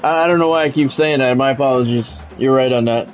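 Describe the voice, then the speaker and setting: A man's voice: medium pitch, quick pace, soft background music. A man livestreaming a video game, giving casual commentary and chatting with viewers in a repetitive, explanatory way.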